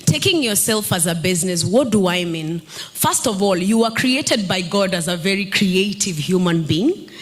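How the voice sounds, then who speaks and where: A woman's voice: medium pitch, animated, moderate pace. A woman in her thirties on a summit panel, coaching the audience on personal branding and asking them questions. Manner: engaging, asks the audience questions. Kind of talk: panel talk to a live audience. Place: stage at a summit. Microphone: handheld mic.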